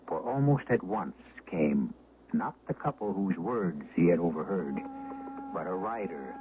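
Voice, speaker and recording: A man's voice: low voice, animated, a little slow, noisy background. A man narrating as host of an old-time radio suspense drama in a theatrical, ominous manner. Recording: narrow, tinny old radio broadcast.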